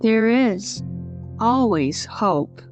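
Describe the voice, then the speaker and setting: A woman playing a weak, wounded, gentle queen in an audio drama, faltering and solemn. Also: on the high side, lively intonation, very slow. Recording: slightly dull, music underneath.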